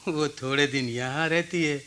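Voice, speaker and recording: A man's voice: fairly high pitch, normal speed, pushed and bright, clear, resonant tone. A man playing a proud, wounded village oil-seller in his forties, speaking emphatically in a Hindi radio drama. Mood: rueful, resigned.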